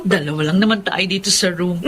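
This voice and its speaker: A Filipino actress playing a warm, modest young woman lawyer in a Tagalog radio drama. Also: on the low side, a little fast, slight background noise, natural voice. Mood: cheerful, modest.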